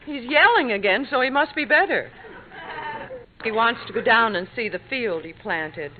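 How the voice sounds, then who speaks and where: A woman's voice: a little high, animated, normal speed, lightly acted. An American actress playing a patient, loyal middle-aged wife and mother in an old radio comedy. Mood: tender, worried. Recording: tinny, noisy vintage radio recording.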